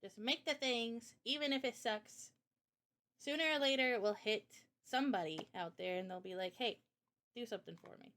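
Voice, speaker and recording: A woman's voice: a little high, normal speed, a bit bright. A woman chatting casually on a livestream Q&A in a giggly, informal way, as the host. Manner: giggly, laughs often while talking. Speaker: host and visual novel developer.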